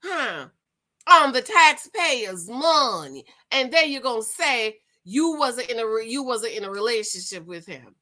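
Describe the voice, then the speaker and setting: A woman in her forties hosts her own commentary vlog in a sassy, gossipy way and calls her viewers "girl" and "y'all." Her voice is fairly high and very bright and forceful. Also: big pitch swings, unhurried. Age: 40s. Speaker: commentary vlogger.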